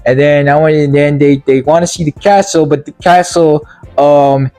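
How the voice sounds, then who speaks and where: A man with a medium-high voice rants casually through a film recap as a reviewer. He rambles, trips over his words and sounds exasperated, with music behind him. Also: steady tone, moderate pace, dark tone. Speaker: video game movie reviewer.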